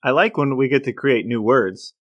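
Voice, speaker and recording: A man's voice: mid-range voice, normal speed, no echo, lo-fi sound. A man co-hosting a podcast, explaining things in a bookish way, partly read and partly conversational. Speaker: podcast co-host. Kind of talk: scripted reading and casual discussion.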